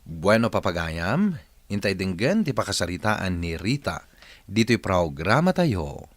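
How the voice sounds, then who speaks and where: A man's voice: low voice, big pitch swings, a little slow, no room sound, exaggerated voice. A man with an Ilocano Filipino accent playing a radio drama host who reads out listeners' life stories. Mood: solemn, storytelling. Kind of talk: scripted radio drama narration.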